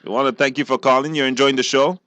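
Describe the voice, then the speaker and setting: A man's voice: medium pitch, level tone, fast, pushed and bright, no room sound. Affable male radio host. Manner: affable, hesitant phrasing with repeated words. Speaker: radio talk show host. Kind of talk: casual on-air interview.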